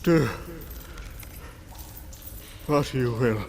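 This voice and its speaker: An actor playing an embattled Norse thunder god in a comic-book audio drama, with a strained delivery. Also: male voice, mid-range voice, very slow, gravelly. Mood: tortured, broken. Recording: noisy, with background ambience.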